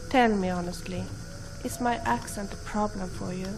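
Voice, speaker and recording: A woman's voice: medium pitch, fairly even intonation, normal speed, close to an everyday voice. A woman voicing an earnest, homesick young companion adventurer in a fantasy video game, speaking sincerely. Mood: chatty, eager. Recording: music behind the voice.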